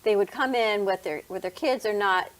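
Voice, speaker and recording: A woman's voice: mid-range voice, moderate pace, fairly bright tone. A woman hosting a health talk show, welcoming her guest in an upbeat way and asking questions. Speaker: host.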